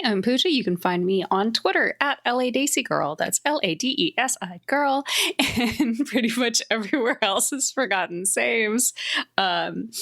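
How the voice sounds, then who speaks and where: A woman chatting casually as a player on a tabletop role-playing podcast. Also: on the high side. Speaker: player on actual-play podcast. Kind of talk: casual chat.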